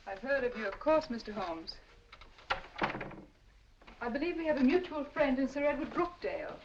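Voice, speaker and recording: A woman's voice: high-pitched, very animated, moderate pace, noisy background. A woman playing a cunning, poised villainess in an old radio drama, with silky, polished politeness. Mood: scheming. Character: cunning, poised female villain, gracious and cold. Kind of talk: 1940s radio acting. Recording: dull old radio audio.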